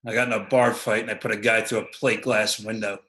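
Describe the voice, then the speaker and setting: A snarling biker brawler with a short fuse, voiced by a man, gruff and hostile, his tone bright and forceful. It rings in a roomy, echoey space. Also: on the low side, flat delivery. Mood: angry, boastful. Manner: gruff, hostile, quick to anger. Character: Rusty, a hot-tempered male biker brawler, young adult. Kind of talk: livestream play script reading.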